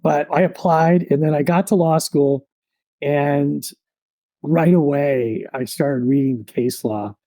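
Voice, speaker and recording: Relaxed guy on a podcast, warm low-key voice, lots of "you know" and "like", dry room. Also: unhurried, dry recording. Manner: relaxed, reflective, rambling with many fillers. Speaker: podcast guest. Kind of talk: storytelling in a podcast interview.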